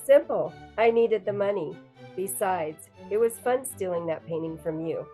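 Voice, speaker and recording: A woman's voice: mid-range voice, animated, normal speed, warm tone, faint music behind, close to an everyday voice. A woman playing a brazen, spiteful thief in a 1940s radio drama, taunting and unrepentant.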